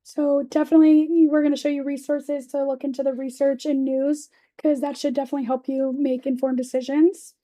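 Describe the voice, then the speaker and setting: A woman's voice: high voice, level tone, a little fast, few pauses, mellow. A young woman teaching assistant who is losing her voice gives tips to a class in a casual, informal way, using "kind of" and "you know.". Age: young adult. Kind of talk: classroom presentation. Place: lecture classroom.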